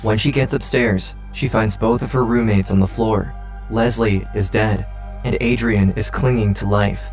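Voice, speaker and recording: A man's voice: fairly low pitch, fairly even intonation, a little slow, faint music behind. A man reading a dramatic scripted true crime narration. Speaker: documentary narrator.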